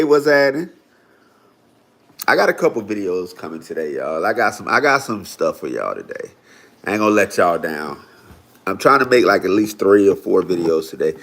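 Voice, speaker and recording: Chatty man in his forties, lively. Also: fairly low pitch. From Florida. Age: 40s. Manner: loose, chatty, lots of "y'all" and "right?". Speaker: livestream host. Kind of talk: casual livestream chat with viewers.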